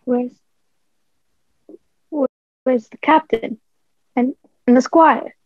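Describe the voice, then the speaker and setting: Young woman as a high-voiced wounded cabin boy. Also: slow, no room sound, narrow, tinny audio. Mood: weak. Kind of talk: radio play acting.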